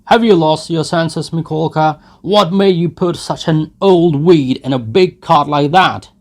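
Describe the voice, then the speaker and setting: Rowdy drunken men jeer and mock from the crowd, as a male reader puts them on. The voices are raised high and loud, full of scornful laughter in the words. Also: measured pace. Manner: mocking, jeering.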